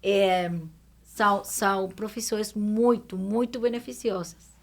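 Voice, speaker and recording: A woman with a foreign accent in Portuguese, a non-native speaker talking haltingly as a guest in a conversational podcast interview. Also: mid-range voice, fairly even intonation. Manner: halting, searching for words. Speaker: guest immigration professional.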